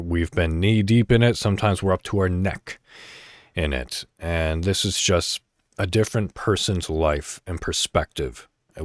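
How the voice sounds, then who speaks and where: A man speaks as the host of a solo podcast in a fairly low voice. He addresses listeners casually, moving through deliberate, segmented phrases. The sound is very dry, with the microphone close to him. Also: darkish tone, no echo.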